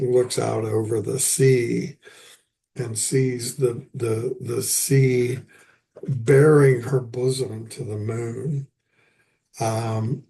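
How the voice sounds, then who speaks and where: An older man hosting a poetry lecture, his voice hoarse and raspy after an illness, talking haltingly with many pauses and fillers. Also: mid-range voice, warm tone.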